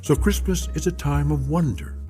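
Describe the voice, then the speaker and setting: An actor playing a solemn narrator of strange tales, speaking in a measured, portentous way. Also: male voice, fairly high pitch, dark tone, lightly acted. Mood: reflective. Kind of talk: dramatic scripted anthology narration. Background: music.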